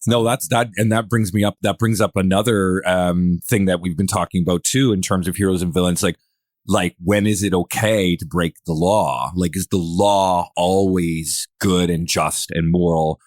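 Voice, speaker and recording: A man's voice: sits low, fairly even intonation, normal speed, darkish tone, no echo, studio quality. A man posing questions in a casual podcast discussion, rambling and circling toward his point.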